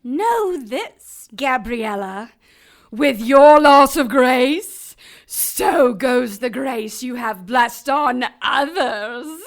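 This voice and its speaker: A haughty female cherub passes judgment in an audio drama. The voice is high and bright, swooping theatrically through slow, formal pronouncements with cruel relish. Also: lively intonation, full character voice. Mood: triumphant, cold. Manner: imperious, formal, theatrical, gloating.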